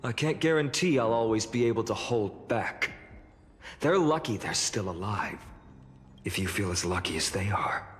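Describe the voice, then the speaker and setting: Stern noble warrior, heavy reverb. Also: male voice, lowish voice, lively intonation, normal speed, fairly bright tone, faint music behind. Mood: stern warning. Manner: earnest, formal, firm. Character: noble young male warrior lord, righteous and sternly protective. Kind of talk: dramatic fantasy game voice acting.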